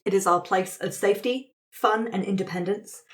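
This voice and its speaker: A woman's voice: mid-range voice, moderate pace. A woman facilitating a tabletop roleplaying game, explaining the rules to a group of friends in casual chat.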